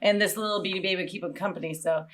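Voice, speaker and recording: A woman's voice: medium pitch, steady tone, very fast. A middle-aged woman improvising comedic answers as a panelist on a video call from home. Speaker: panel show regular.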